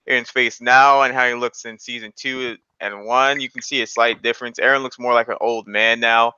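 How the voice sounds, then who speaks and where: A man's voice: mid-range voice, fairly even intonation, brisk, pushed and bright, clear, resonant tone, dry recording, dull audio. A man hosting a podcast and chatting casually and informally about anime, giving his opinions. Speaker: podcast host.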